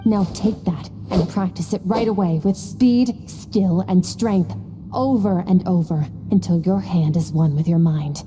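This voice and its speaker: A woman playing a stern, aloof master swordswoman in a video game, lecturing in a cool, measured, terse manner. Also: lowish voice, in a large hall, music in the background, close to an everyday voice. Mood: calm, exacting. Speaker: sword instructor. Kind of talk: scripted video game voice acting.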